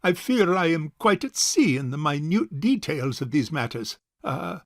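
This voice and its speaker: Pompous old uncle, creaky and high. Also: male voice, big pitch swings, a little slow, no echo, studio quality. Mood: pompous, awkward. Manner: pompous, hesitant formal speech. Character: miserly, fussy rich old bachelor uncle. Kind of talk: comic stage farce acting.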